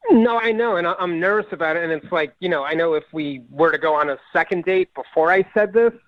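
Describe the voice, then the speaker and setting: Higher-voiced hesitant man on muffled phone line. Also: fast talker, no room sound. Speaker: phone-in caller. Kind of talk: casual phone conversation.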